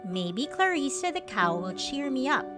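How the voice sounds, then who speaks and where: A woman voicing a wistful mother hen in a children's storybook, plaintive, earnest and drawn-out. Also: sits high, sing-song, over music, voice pushed up. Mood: glum, wistful. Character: wistful mother hen, proud of her eggs.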